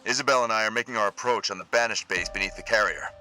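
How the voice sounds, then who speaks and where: A man playing a steady supersoldier field commander in a video game, calm and clipped in military style. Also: quick pace, natural voice, poor audio. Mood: composed, resolute. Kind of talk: video game cutscene acting. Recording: loud music and vehicle rumble behind.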